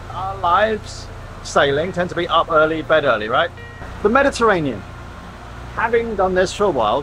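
A man's voice: sits high, noisy background. A man in his forties chatting casually to a handheld camera aboard a catamaran, with wry, rambling asides. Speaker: sailing vlogger. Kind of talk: casual vlog narration. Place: aboard a catamaran, outdoors and in the cockpit. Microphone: handheld camera close to face.

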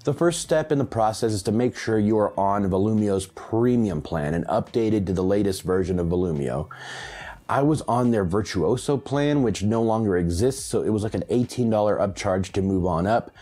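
A man in his thirties or forties hosts a hi-fi how-to video. His voice sits fairly low, with a dark, relaxed tone. He reads scripted instructions step by step and enunciates them clearly. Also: moderate pace. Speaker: host of a hi-fi audio channel. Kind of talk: scripted tech tutorial presented to camera. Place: small home room, indoors.

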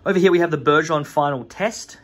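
A man's voice: a little high, fast talker. A man in his thirties, a watchmaker, chatting casually through a tour of his small workshop with lots of "uh" and "um", recorded on a phone held close. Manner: relaxed, rambling with many fillers. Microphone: phone camera held close.

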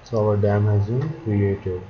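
A man narrating a step-by-step software tutorial as an instructor, speaking in short, halting instructional fragments. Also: fairly low pitch, fairly even intonation, slight background noise. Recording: dull lo-fi audio, background chatter, clicking.

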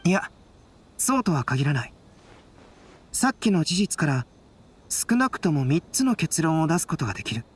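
A woman voicing a calm, analytical young man in an anime game, composed and measured. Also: sits low, slightly rough, exaggerated voice. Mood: calm reasoning. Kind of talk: Japanese anime game voice acting. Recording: background music behind voice.

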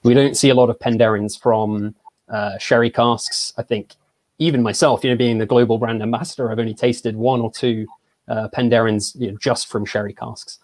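A young English man from Surrey, a brand ambassador presenting in an online tasting, relaxed and hesitant with many fillers. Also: mid-range voice, moderate pace, no echo. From England (Surrey). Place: small home room. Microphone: video call.

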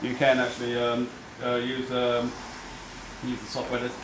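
A man's voice: mid-range voice, completely flat delivery, normal speed. An Australian man giving a casual live product demo at a store event, hesitant with frequent ums and restarts. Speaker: product demonstrator. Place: crowded store at a product night. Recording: echoey shop with crowd noise.

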